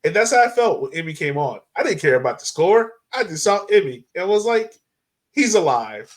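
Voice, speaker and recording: A man hosting a casual sports podcast, talking in an excitable, slangy way. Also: high voice, moderate pace. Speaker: podcast host.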